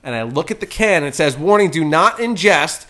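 A man from New Jersey with a bright, forceful voice that sits a little high. He tells a story to his podcast hosts and acts out the people in it as he goes. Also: fairly quick. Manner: animated storyteller who acts out quoted voices. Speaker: podcast guest. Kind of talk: casual chat, storytelling.

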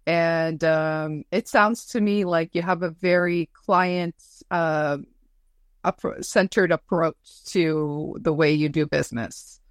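Female interview host, lower register, effusive and conversational, very dry close sound. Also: fairly even intonation, takes it slowly, clear, resonant tone, dry recording. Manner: effusive, affirming. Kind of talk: casual, conversational interview hosting.

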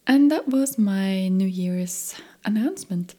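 A woman hosting a musicians' podcast, reading her introduction in a soft, measured, half-whispered way. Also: mid-range voice, animated. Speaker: podcast host. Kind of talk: scripted podcast intro and interview. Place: home in Stockholm.

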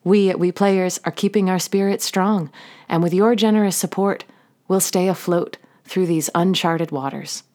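A woman hosting an audio drama, reading a scripted outro and credits in a measured, earnest way. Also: lowish voice, steady tone, a little mellow. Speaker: host and narrator of an audio drama podcast.

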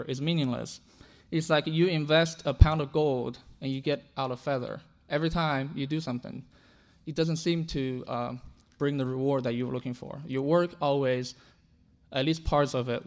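A man from China, whose first language is Chinese, preaching a sermon earnestly, often restarting and repeating words. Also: on the high side. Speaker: pastor.

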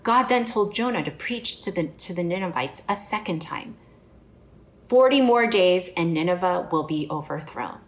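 Woman preacher, lowish voice, earnest and reflective, echoey room. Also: expressive, moderate pace. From United States. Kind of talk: sermon.

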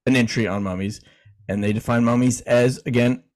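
A man's voice: medium pitch, steady tone, a little fast, darkish tone. Easygoing man chatting on a podcast. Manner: easygoing, chuckling, frequent restarts. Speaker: podcast co-host. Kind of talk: casual chat, reading definitions aloud.

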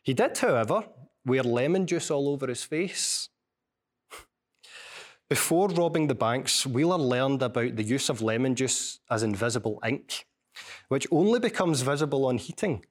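A man's voice: mid-range voice, expressive. A man with a strong Glasgow accent gives a university seminar, telling stories and reading a passage from his book. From Scotland. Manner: storytelling, measured, with small restarts. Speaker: lecturer and author.

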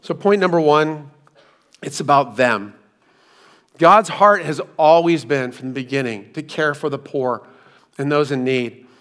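A hoarse middle-aged man giving a sermon, telling a personal story with self-deprecating asides, speaking into a hands-free microphone. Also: on the high side, unhurried, fairly bright tone. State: hoarse, recovering from lost voice. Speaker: pastor.

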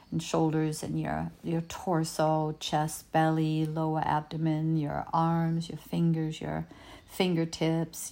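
A woman whose first language is Danish, giving a gentle, unhurried talk as a healing teacher, often repeating words as she searches for them. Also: low-pitched. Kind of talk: reflective spoken talk.

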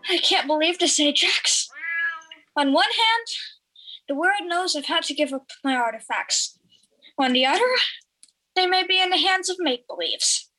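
A woman's voice: extremely high pitch, animated, moderate pace, pauses often, bright, forceful tone, soft background music, exaggerated voice, low-quality recording. A woman playing a vain, theatrical cat diva in a children's audio drama, with melodramatic, declarative delivery. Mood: scheming, outraged.

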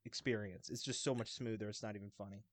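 A man's voice: on the low side, fairly even intonation, fast, a bit bright, dry recording. A man on a podcast panel, talking informally in a loose, rambling way. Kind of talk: casual chat.

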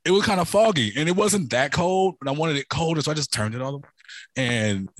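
A man with a hoarse, raspy voice from a cold, giving a rambling testimony to a church congregation with many restarts. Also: fairly high pitch, lively intonation, a little fast, dry recording.

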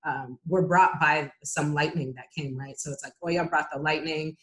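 Low voiced woman on a panel, thoughtful, says um a lot. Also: steady tone, poor audio. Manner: reflective, many "um" pauses. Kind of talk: panel discussion.

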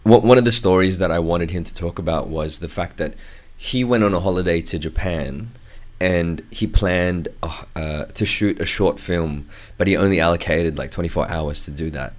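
Husky deep-voiced man with a cold. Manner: halting, many fillers and restarts. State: has a cold. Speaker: podcast guest. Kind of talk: casual podcast chat.

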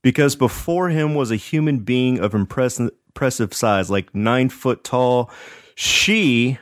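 Male podcast host, mid-pitched, jokey and slangy, casual storytelling, dry close recording. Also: takes it slowly, no echo.

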